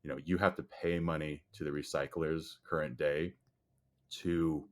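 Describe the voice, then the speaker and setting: A man whose first language is Chinese, answering podcast interview questions in a measured way with frequent "you know" fillers. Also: a bass voice, flat delivery. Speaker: guest, storage company executive.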